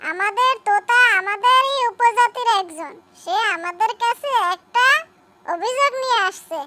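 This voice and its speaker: A commanding cartoon crow chief who rallies the flock , voiced by a woman. The voice is extremely high, with clear noise behind it. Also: measured pace. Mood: rallying. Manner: rallying, giving orders. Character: crow chief, fatherly commanding leader of birds. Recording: clearly noisy background.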